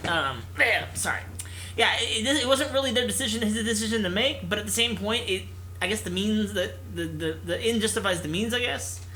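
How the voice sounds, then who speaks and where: A man hosting a let's play, reading a visual novel aloud in an expressive narration. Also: sits high, a little fast, a little hiss or hum, poor audio. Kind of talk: expressive read-aloud narration with casual asides.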